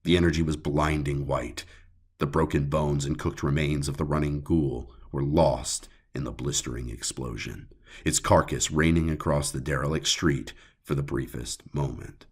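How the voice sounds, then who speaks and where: A man with a gravelly, husky voice narrating a scripted horror story dramatically, in short broken phrases. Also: rumbling low, steady tone, moderate pace. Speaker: audiobook narrator.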